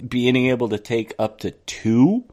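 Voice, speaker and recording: A man's voice: mid-range voice, expressive, measured pace, warm tone. A man co-hosting a podcast in casual chat, teasing and reacting to his fellow speakers. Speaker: podcast co-host.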